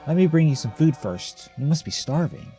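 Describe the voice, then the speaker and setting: A man's voice: fairly high pitch, expressive, normal speed, dark tone, faint music behind, pitched above the performer's own voice, lightly acted. A man voicing an anxious, controlling mother in a horror game, coaxing and insistent. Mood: fussing. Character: anxious, controlling mother fussing over her daughter.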